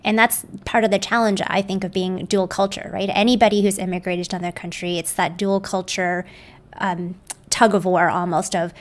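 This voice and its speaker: A woman's voice: mid-range voice, flat delivery, normal speed. A woman hosting a live panel discussion, conversational and affirming, with frequent "um" and "mm-hmm". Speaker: host.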